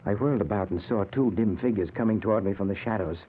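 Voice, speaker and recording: An actor playing a dazed, newly dead man in a 1940s radio suspense drama, with a dramatic, halting, anguished delivery. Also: fairly low pitch, slightly rough, narrow, tinny audio. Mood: somber, dazed. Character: dazed, panicked newly dead man narrating his own afterlife.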